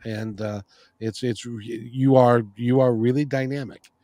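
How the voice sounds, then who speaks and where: A middle-aged man hosts a podcast in an easygoing, meandering way, circling back and restarting his sentences. His voice sits at an ordinary pitch, recorded dry with no room echo. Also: fairly even intonation, measured pace, mellow. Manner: rambling, frequent restarts and fillers. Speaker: podcast and radio show host. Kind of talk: casual interview chat.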